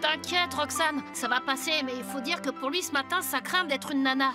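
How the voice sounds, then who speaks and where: Lady doing a cheeky chummy cartoon kid, boy best pal, super high, music. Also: moderate pace, close to an everyday voice. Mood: reassuring. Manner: slangy, chummy. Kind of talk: French animated cartoon dub.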